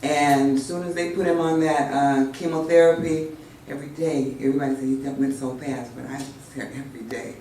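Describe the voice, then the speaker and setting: A woman giving an unscripted memorial tribute in a halting way, with many pauses and restarts. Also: extremely low pitch, steady tone, warm tone, slight background noise. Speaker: friend speaking at a memorial tribute. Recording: echoey hall from far off.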